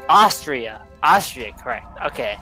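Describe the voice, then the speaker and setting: A man's voice: medium pitch, very animated, a little slow, bright, forceful tone, faint music behind. A young man with a Southern American accent, drunk, chatting while he streams a game, rambling bluntly and swearing. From Southern United States. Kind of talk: casual chat while gaming.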